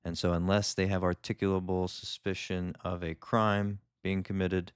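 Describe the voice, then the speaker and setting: A man doing a calm legal commentary voice-over, explaining each point in a measured way. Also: low voice, smooth, dry recording, studio quality. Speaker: lawyer commentator.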